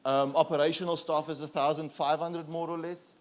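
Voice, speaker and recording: A South African man whose first language is Afrikaans, giving a relaxed, self-deprecating conference presentation with fillers and restarts. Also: fairly high pitch, brisk. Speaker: conference speaker.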